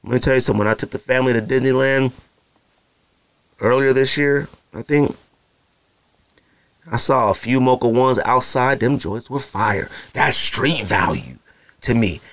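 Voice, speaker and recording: A man in his thirties with a naturally deep voice, hosting a podcast in loose, slangy casual chat full of fillers and asides to his listeners. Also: lively intonation, normal speed, fairly bright tone, no room sound. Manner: feels hot and uncomfortable while recording. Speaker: podcast host. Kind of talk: casual solo podcast rambling.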